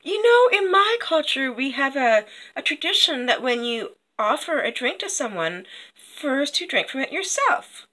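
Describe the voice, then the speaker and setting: A woman's voice: high-pitched, very animated, unhurried, bright, forceful tone, pitched above the performer's own voice, heavily acted, poor audio. A woman storyteller voicing a clever, protective young woman in a casual, reassuring manner. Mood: firm, polite.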